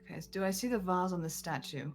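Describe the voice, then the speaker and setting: A woman's voice: fairly low pitch, expressive, quick pace, soft background music. A woman playing an online tabletop game, talking casually and often saying "like", over a slightly noisy recording. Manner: frequent use of "like".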